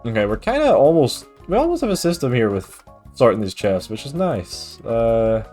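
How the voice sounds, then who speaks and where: A man's voice: mid-range voice, moderate pace, faint music behind. A man from Scotland with a mild Scottish accent, streaming a game and casually chatting with viewers. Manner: relaxed, chatty, reads and answers chat with frequent fillers. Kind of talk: casual gaming stream chat.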